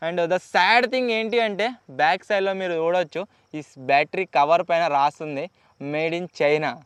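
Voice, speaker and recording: Man, Telugu accent, high clear voice, fast pace, chatty vlog host, explanatory, English words mixed in. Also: a bit bright, no echo. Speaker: YouTube host. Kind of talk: casual unboxing and testing vlog.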